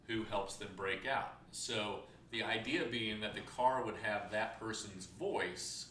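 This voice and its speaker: A man with a bright, forceful, lowish voice talks casually as a co-host, explaining in measured, halting phrases. He sounds away from the mic in an echoey room with some noise. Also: recorded from a distance. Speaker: show co-host and writer. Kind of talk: casual chat, pitching story ideas.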